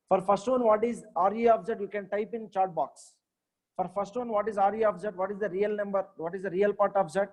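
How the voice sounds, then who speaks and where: High-voiced maths teacher, encouraging. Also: male voice, darkish tone. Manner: repetitive, checks on students often, praises answers. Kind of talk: live online lesson.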